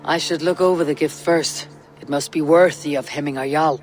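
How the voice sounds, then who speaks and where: An actor playing a steady, loyal Viking warrior and counsellor in a game cutscene, speaking in a measured, formal way. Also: male voice, a little high, soft background music, lightly acted, low-quality recording. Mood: warm, serious. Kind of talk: dramatic game cutscene acting.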